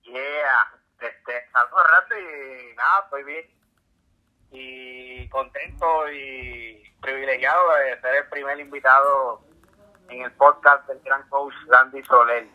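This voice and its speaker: A man from Puerto Rico with a Puerto Rican accent, a guest telling his story calmly, with many fillers. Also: mid-range voice, takes it slowly. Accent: Puerto Rican Spanish. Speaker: guest, ultramarathon swimmer. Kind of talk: casual interview. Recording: tinny phone-like call audio.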